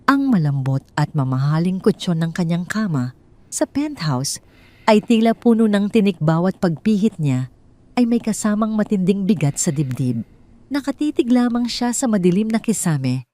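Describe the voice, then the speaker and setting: A woman with a Filipino accent reads a scripted dramatic story in a solemn, deliberate way, with short pauses between phrases. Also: on the low side, dark tone. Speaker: story narrator.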